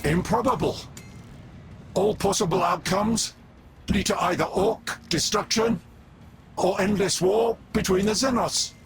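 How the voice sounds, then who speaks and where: A man's voice: high voice, measured pace, long pauses, a little hiss or hum. A man playing a pedantic, coldly logical cyborg tech-priest in a video game, with a formal, scornful delivery. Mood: incredulous, scornful. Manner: clipped, formal, disdainful. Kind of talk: video game character acting. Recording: clear game music behind.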